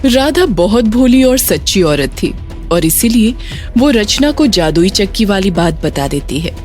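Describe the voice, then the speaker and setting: Female narrator for children's moral stories: unhurried scripted read, mid pitch, background music. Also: very animated, darkish tone. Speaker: storyteller. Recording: music bed under narration.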